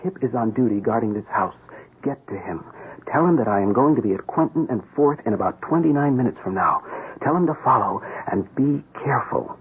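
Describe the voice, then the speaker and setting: An actor playing a gruff, wary police sergeant in a radio mystery drama, blunt, skeptical and conversational. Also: male voice, mid-range voice, lively intonation, a little fast. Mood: urgent. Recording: noisy, tinny old radio broadcast.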